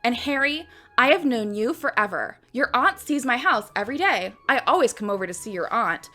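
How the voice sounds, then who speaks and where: A woman's voice: a little high, expressive, normal speed. A woman voicing a bubbly, confident teenage witch schoolgirl in a story reading, emphatic and eager. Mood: pleasant, confident. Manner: bright, pleasant, eagerly emphatic.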